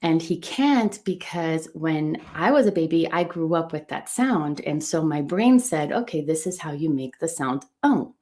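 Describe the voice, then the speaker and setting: A middle-aged woman, Portuguese by first language, coaches her listeners through a motivational lesson. Her voice sits a little low and her delivery is eager and emphatic, doubling words to drive points home. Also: lively intonation, a little slow, few pauses, dark tone. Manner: enthusiastic, emphatic, repeats words for stress. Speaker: coach and run club business owner. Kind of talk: teaching a motivational online lesson.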